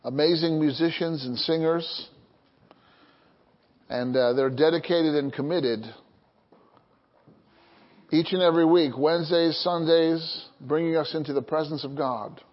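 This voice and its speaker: Male pastor, slightly high voice, slow solemn worship leading in a church. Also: steady tone, in a large hall, lo-fi sound. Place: church sanctuary.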